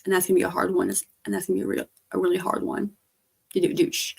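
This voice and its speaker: Woman streamer, hoarse and gravelly, loose rambling chat, soft trailing delivery. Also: fairly low pitch, fast talker. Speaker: livestreamer. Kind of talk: casual livestream chat.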